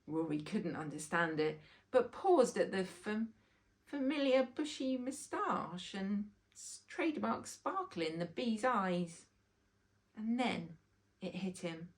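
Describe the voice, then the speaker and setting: A woman narrates a children's storybook. Her reading is expressive, with a mid-range voice and plenty of lively rise and fall. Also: mid-range voice, slow pace. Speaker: children's author reading aloud.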